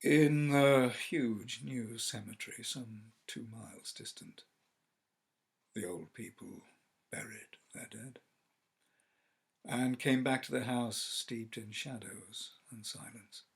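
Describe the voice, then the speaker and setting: A man reading a classic story aloud as an actor, measured and theatrical, letting phrases fall to a hush. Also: medium pitch, creaky, husky. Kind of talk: dramatic literary narration.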